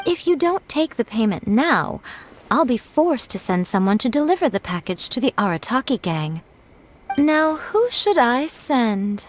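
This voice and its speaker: Elegant, sly shrine mistress for a game: coy, teasing, fairly high and very animated in pitch. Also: female voice, unhurried, slight background noise. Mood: playful, teasing. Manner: playful, coy, refined. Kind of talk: video game voice acting.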